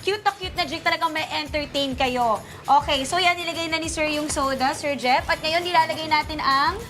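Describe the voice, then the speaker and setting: A woman's voice: high-pitched, fairly quick, fairly bright tone. A bubbly, enthusiastic woman hosting a casual live food segment for a morning show. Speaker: morning show field host. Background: music.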